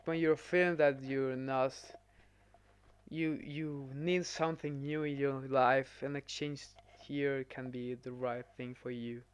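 A man's voice: medium pitch, steady tone, takes it slowly, slight background noise. A young man from Italy, a podcast guest talking earnestly and piling up examples. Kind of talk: casual podcast chat.

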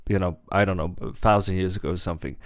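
A man's voice: low voice, fairly even intonation, quick pace, no room sound, studio quality. A man from southern Sweden, chatting casually and repeating words as he explains. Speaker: podcast participant. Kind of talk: casual chat.

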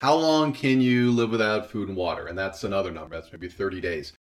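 A man's voice: deep voice, a little fast. A man in a casual interview, explaining ideas articulately and posing rhetorical questions to build his point. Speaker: guest, writer and creator of an audio drama.

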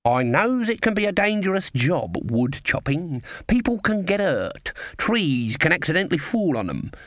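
A man's voice: on the high side, sing-song, moderate pace, a bit bright, no echo, studio quality, pitched above the performer's own voice. A man reading a story, voicing a shrewd old witch woman who talks in a sing-song, falsely innocent country dialect. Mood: quietly menacing.

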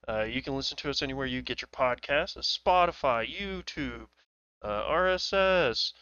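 A man's voice: mid-range voice, normal speed, clear, resonant tone, dry recording. Laughing young man hosting a lo-fi podcast. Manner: laughs often, lively and joking. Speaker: podcast host. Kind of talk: casual podcast banter.

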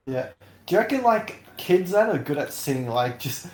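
A man's voice: fairly high pitch, very animated, moderate pace, darkish tone. Giggly young man, echoey room. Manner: giggly, self-mocking storyteller. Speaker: podcast co-host. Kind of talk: casual chat.

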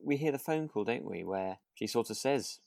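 A man with a creaky, gravelly voice co-hosts a casual podcast. He sounds agreeable and rambles a little, hesitating as he goes. The sound is very dry, with no room around it. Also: medium pitch, lively intonation, moderate pace, a little mellow, dry recording. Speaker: podcast co-host. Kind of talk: relaxed casual chat.

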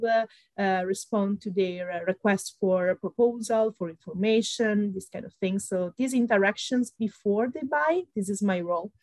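Woman, Italian accent, 30s, interview guest, pauses and fillers, medium pitch, dry room. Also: darkish tone, dry recording. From Italy. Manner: thoughtful, many "uh" pauses, light laughs. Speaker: guest, pre-sales consultant. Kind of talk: interview answers.

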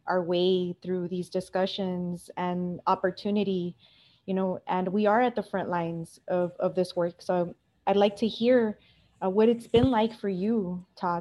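A woman with a very mellow, slightly low voice moderates a panel. She speaks informally and conversationally as she hands questions to the speakers.

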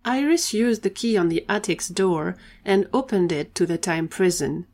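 Woman narrating an audio drama, kinda low voice, scripted storytelling. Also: moderate pace, a little mellow.

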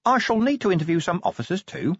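Man putting on a prim, high-pitched little bureaucrat. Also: big pitch swings, quick pace, husky, dry recording, highs cut off, exaggerated voice. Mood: prim, polite. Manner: formal, precise, hesitant little openings. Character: small prim pedantic male government inspector, unflappably polite. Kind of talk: audiobook character acting.

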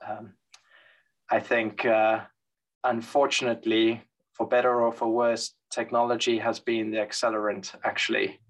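Man, transit expert guest, thoughtful, flat delivery, remote call. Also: fairly low pitch, low-quality recording. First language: English. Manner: thoughtful, measured, many fillers and restarts. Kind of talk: interview conversation.

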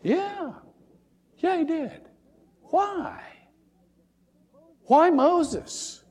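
A pastor preaching a sermon, a man with a high voice that swings up and down a lot. He tells his stories in a folksy, humorous way and slips into little acted-out voices along the way. The sound carries a long reverb from a large hall. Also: very animated, extremely slow, a little mellow.

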